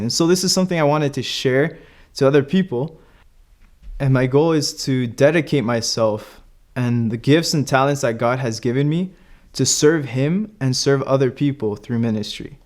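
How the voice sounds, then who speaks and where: A man, a ministry student, giving an earnest personal testimony and often ending thoughts with "right?". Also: medium pitch.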